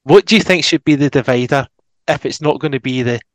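A man's voice: mid-range voice, fairly quick, no room sound. A man hosting a casual football podcast, reading out listener messages with lots of ums. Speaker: podcast host.

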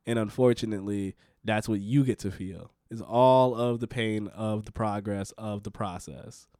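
A man's voice: on the low side, fairly even intonation, moderate pace, a little mellow, no room sound, studio quality. A man in his late twenties talking casually as a podcast co-host, pressing his points with earnest, repeated emphasis. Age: 20s. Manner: earnest, repetitive emphasis, coaching tone. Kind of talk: casual conversation.

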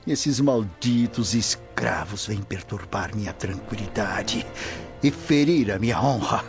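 An actor playing a proud, indignant older Roman patrician in a Brazilian radio drama, with a grave, theatrical delivery. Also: male voice, mid-range voice. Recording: muffled radio drama with music underneath.

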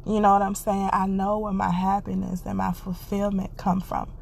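A woman's voice: medium pitch, monotone, moderate pace, vocal fry, a little mellow, slightly rough. A woman hosting a podcast, giving an earnest, encouraging casual monologue and repeating her phrases, congested and stuffy. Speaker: podcast host.

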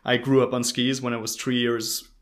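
A man from Austria speaks as a guest in a casual interview, at a medium pitch. His Austrian accent carries an Irish-tinged R over native German. He is informal and a little rambling, with dry humour. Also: flat delivery, normal speed, fairly bright tone. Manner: informal, rambling, dryly humorous. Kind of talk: casual chat, telling life story.

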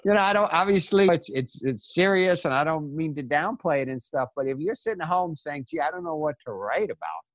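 A man's voice: fairly high pitch, expressive, brisk, few pauses, dry recording. Man riffing emphatically in a dry recording. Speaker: podcast co-host and writer. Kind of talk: animated casual talk, motivational riffing on writing.

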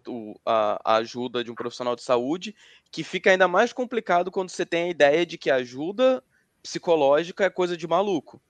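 Higher-voiced Brazilian man in a close, dry recording. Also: no echo. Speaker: panelist in a group discussion. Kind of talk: casual reflective discussion.

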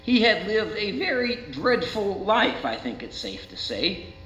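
An American man preaching a sermon as a pastor, speaking in rhetorical questions with deliberate dramatic emphasis. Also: sits high, big pitch swings, bright, forceful tone. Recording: roomy, muffled, with faint mains hum.

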